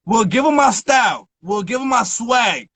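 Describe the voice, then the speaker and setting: A man's voice: extremely high pitch, lively intonation, normal speed, fairly bright tone, breathy edge, no room sound. A man hosting a live-stream interview, talking emphatically and often checking in with his listener. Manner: emphatic, keeps asking "you feel me". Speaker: interview host. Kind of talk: casual interview chat. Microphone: phone on a live stream.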